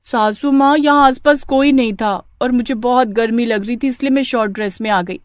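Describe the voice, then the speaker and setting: A woman's voice: a little high, normal speed, clean tone, no room sound, clean studio sound, pitched above the performer's own voice, close to an everyday voice. A woman voicing a young daughter-in-law, pleading and justifying herself in a family story. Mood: defensive. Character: young new daughter-in-law, pleading, unashamed and heat-weary.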